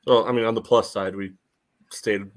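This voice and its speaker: A man's voice: fairly low pitch, fairly even intonation, moderate pace. A man co-hosting a sports podcast, chatting casually with a dry, wry sense of humour. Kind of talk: casual chat.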